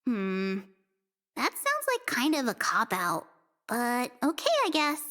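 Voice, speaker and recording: A woman's voice: on the high side, huge pitch swings, takes it slowly, fairly bright tone, exaggerated voice. A woman playing a naive, childlike young girl in a video game, casual, innocent and questioning. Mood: puzzled, doubtful. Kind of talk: anime-style video game acting.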